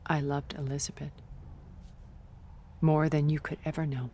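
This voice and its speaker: A woman playing a commanding, possessive, centuries-old woman in a dramatic video game cutscene, speaking deliberately and imperiously. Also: sits low, level tone, mellow, a little hiss or hum. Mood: remorseful. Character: commanding, possessive thousand-year-old woman, coldly obsessed with lost love.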